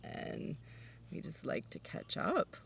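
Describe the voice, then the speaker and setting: A woman who runs a forest farm, chatting casually in an interview with lots of fillers and sometimes dropping to a whisper. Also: takes it slowly. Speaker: forest farmer. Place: outdoors on a farm. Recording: distant mic, outdoor noise with passing vehicles.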